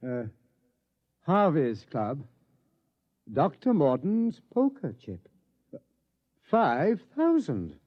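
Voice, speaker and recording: An actor playing a nervous astronomer who stammers and gets flustered, in 1950s radio drama style. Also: male voice, fairly high pitch, huge pitch swings, very slow, pauses often, heavily acted. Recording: dull old radio recording.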